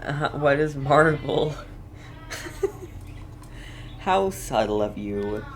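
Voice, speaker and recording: A woman's voice: very deep voice, sing-song, drawn-out words. A woman streaming a video game with casual, amused reactions, interjecting and echoing phrases.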